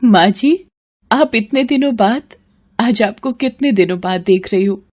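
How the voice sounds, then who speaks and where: A woman's voice: medium pitch, measured pace, a little mellow, voice pushed up, natural voice. Lady doing a sweet eager young bahu in a moral story, doting on her elders. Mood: joyful, doting. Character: devoted, affectionate young daughter-in-law, eager to serve.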